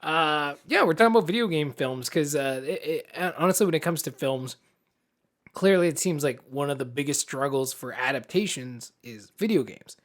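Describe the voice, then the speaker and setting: A man's voice: on the high side, animated, normal speed. A young man co-hosting a podcast in a casual chat, with a wry, jokey manner and some mild swearing. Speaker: podcast co-host.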